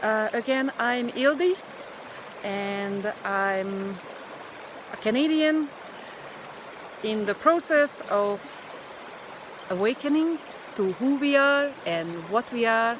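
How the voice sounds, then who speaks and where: A woman with a Hungarian accent who is not a native English speaker, talking hesitantly to camera in a casual vlog, outdoors by a river. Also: a little high. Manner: hesitant, with many pauses and fillers. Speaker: vlogger. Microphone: handheld camera or phone at arm's length. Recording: outdoor recording with rushing water noise. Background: rushing river water.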